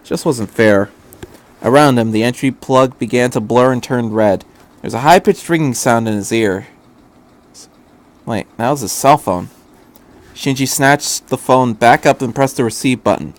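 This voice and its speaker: A man's voice: medium pitch, normal speed. A man reading fan fiction aloud as an amateur narrator of a scripted story.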